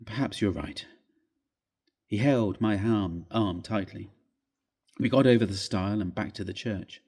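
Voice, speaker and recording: A man giving a dramatic, expressive reading of a Gothic ghost story, with deliberate phrasing and a husky voice. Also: fairly low pitch.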